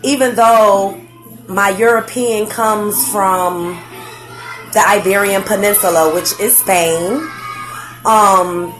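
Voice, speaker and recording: An excited young woman talks casually into her home computer mic, full of slang and filler words. Her voice sits at an ordinary middle pitch. Faint music plays in the background. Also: slow, low-quality recording. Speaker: vlogger. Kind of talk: casual facebook live chat.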